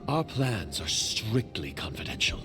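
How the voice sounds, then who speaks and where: Low-voiced, wary secret agent. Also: male voice, sing-song, a little slow. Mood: suspicious. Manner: guarded, curt, condescending. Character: shady foreign secret agent, wary, disdainful, calculating. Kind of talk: video game voice acting. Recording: game music behind the voice.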